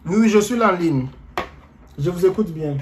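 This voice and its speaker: A man hosting a talk show who speaks calmly and reassuringly, often hesitating. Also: high voice, normal speed, reverberant, slight background noise. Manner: calm, reassuring, hesitant with fillers. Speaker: host. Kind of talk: radio talk show host.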